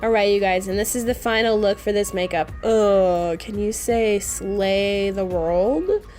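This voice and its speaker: A woman's voice: mid-range voice, unhurried, a little mellow, soft background music. A woman narrating a casual makeup tutorial in a playful, gushing, informal way.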